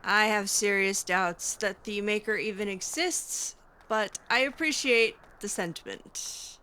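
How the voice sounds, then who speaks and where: A woman's voice: medium pitch, measured pace, sharp tone. A woman playing a video game in character, giving casual commentary with wry, sarcastic, skeptical asides. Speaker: video game player roleplaying as a mage character.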